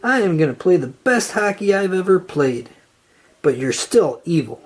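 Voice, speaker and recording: A storybook reader voicing an excitable, earnest, nervous young male cartoon sea sponge. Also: medium pitch, moderate pace, breathy edge. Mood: earnest, eager. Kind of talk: children's storybook character read-aloud.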